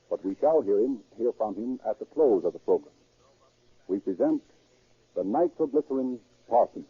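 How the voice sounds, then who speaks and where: A man's voice: medium pitch, husky, no echo. A man announcing and narrating in scripted 1930s radio style, formal and declamatory. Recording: tinny 1930s radio recording.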